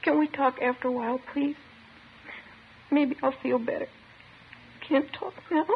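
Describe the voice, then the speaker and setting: High, creaky-voiced shaken teenage girl. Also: fairly even intonation, measured pace. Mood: tearful, bitter. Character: shaken, bitter teenage girl, murdered woman's daughter. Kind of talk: 1950s radio drama acting. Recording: muffled, slightly noisy old radio recording.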